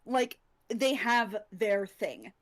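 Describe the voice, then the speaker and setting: A woman's voice: mid-range voice, sing-song, extremely slow, a bit bright. A woman who acts in audio drama, chatting informally with enthusiasm and some swearing. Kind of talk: casual chat, gushing fan-like praise.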